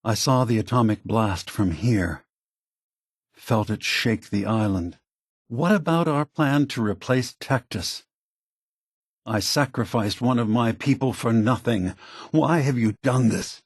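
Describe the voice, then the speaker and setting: A weary machine leader from a video game, who longs for peace. He speaks very slowly and gravely in a low, husky voice. Every thought is measured and deliberate. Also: no room sound, studio quality, exaggerated voice. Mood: weary, sorrowful, then dismayed, accusing. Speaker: game character. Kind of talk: scripted video game dialogue.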